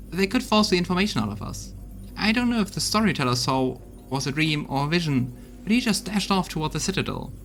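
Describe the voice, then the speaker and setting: Man playing a rough-tongued crusade soldier, fantasy game, folksy, blunt, gossipy, slightly high voice, soft music. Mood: urgent reporting. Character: rough-tongued loyal crusade soldier reporting bad news.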